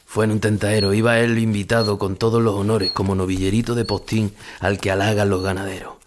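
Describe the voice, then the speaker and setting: Ageing retired matador, played by a male actor, low-pitched, wistful and brooding, for an audio drama monologue. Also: level tone, fairly quick, background noise, close to an everyday voice. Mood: nostalgic storytelling. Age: older. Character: ageing melancholy retired Spanish bullfighter musing on his past.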